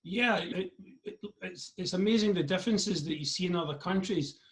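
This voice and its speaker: A man's voice: high voice, moderate pace. A Scottish man from Scotland interviewing a guest over a video call, asking friendly, rambling questions. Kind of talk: remote interview.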